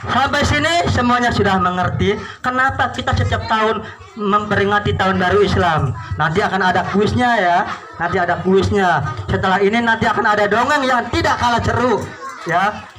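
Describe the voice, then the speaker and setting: A man telling religious stories to children in an animated, interactive way, asking questions and repeating phrases. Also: high-pitched, moderate pace, music in the background. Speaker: children's storyteller.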